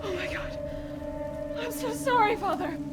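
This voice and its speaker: Distraught young daughter, video game drama, high emotional voice. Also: female voice, measured pace, loud music behind the voice. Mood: grief-stricken. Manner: emotional, forceful.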